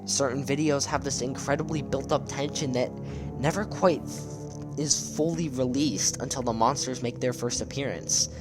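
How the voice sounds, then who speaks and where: A man's voice: mid-range voice, steady tone, normal speed, music in the background. A man giving casual scripted video commentary in a conversational, enthusiastic way. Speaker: video essay narrator. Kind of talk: casual scripted commentary on horror web series.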